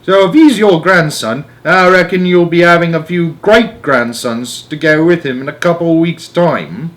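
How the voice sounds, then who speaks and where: A man's voice: a little high, normal speed, fairly bright tone, pitched above the performer's own voice, close to an everyday voice. A chatty old cabbie from a storybook, voiced by a man. He talks in a folksy, easygoing way. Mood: chatty, amused. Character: chatty old male English taxi driver.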